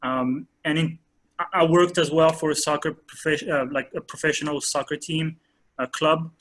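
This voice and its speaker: A man in his thirties from France, a soccer coach answering interview questions in a hesitant way with many pauses and fillers. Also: a little high, steady tone, fairly bright tone.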